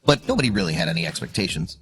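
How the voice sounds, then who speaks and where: A man's voice: deep voice, sing-song, rapid-fire, fairly bright tone, low-quality recording. A man narrating a scripted dramatic story in a suspenseful, emphatic way. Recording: music under the voice. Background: background music.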